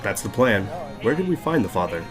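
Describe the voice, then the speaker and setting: A man playing a low-voiced, confident adventuring leader. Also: very animated, brisk, music in the background, poor audio. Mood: easygoing. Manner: polite, persuasive, formal with strangers. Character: smooth-talking male adventurer, confident party leader. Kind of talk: fantasy audio drama acting.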